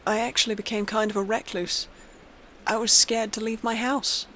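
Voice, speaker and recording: A woman's voice: a little high, level tone, moderate pace, a bit bright, slight background noise. A woman reading a scripted horror story aloud as its narrator.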